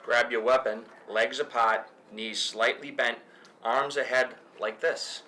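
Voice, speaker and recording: A man's voice: medium pitch, fairly even intonation, takes it slowly, pushed and bright, low-quality recording. A man playing a curt, matter-of-fact shooting range attendant in a screenplay table read, giving terse instructions. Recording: faint room background noise.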